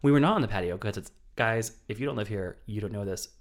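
A man in his thirties with a hoarse voice, co-hosting a podcast and joking casually, swearing freely. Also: lowish voice, quick pace. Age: 30s. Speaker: podcast co-host. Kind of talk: casual chat. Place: indoor studio, in San Francisco.

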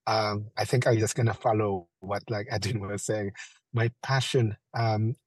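A man's voice: fairly low pitch, steady tone, measured pace, breathy edge, no echo. A man in his forties from the Philippines, a guest on an online panel talk, speaking eagerly with filler phrases. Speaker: guest, chief nurse.

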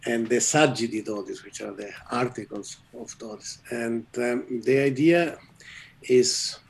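A man whose first language is Italian, directing an archive and explaining its work in an interview, halting and restarting often. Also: medium pitch, vocal fry, breathy edge, low-quality recording. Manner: halting, restarts often, laughs at own jokes. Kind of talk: interview conversation.

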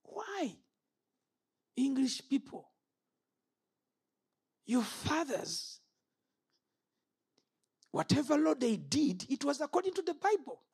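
A man's voice: extremely high pitch, big pitch swings, slow pace, creaky, pauses often, darkish tone. A man in his fifties from Uganda preaching an impassioned sermon. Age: 50s. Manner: impassioned, rises and falls sharply.

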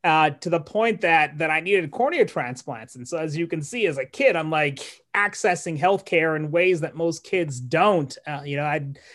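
Higher-voiced man from Malawi, reflective. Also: moderate pace, hardly any pauses, fairly bright tone. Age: 40s. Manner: thoughtful, with frequent restarts and light laughs. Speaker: neurologist guest. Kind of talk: casual interview storytelling.

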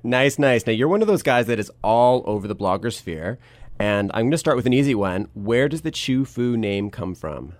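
A man's voice: mid-range voice, lively intonation, moderate pace, no room sound. A man hosting an interview show, asking upbeat, punchy questions.

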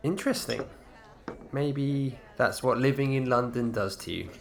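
A man's voice: medium pitch, unhurried, gravelly. An actor playing a polite, curious semi-retired man new to a village, hesitant and inquisitive, in audio drama dialogue.

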